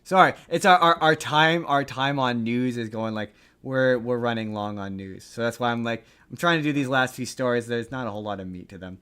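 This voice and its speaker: A man's voice: medium pitch, fairly quick, few pauses, fairly bright tone, smooth. Casual male podcast host, rambling. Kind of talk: casual entertainment news chat.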